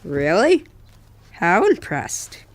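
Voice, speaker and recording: A woman's voice: on the low side, wildly swooping pitch, extremely slow, full character voice. A woman voicing an eager, hopeful eleven-year-old boy in an audio drama, casual and boyish.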